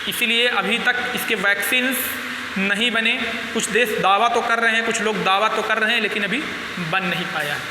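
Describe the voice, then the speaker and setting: A man teaching a biology class while nursing a sore throat, his voice hoarse and pitched very high. He stumbles over words here and there. The recording sits in a reverberant hall with a clear hiss of noise behind him. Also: moderate pace. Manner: tongue stumbles now and then; addresses students as friends. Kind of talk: biology lecture.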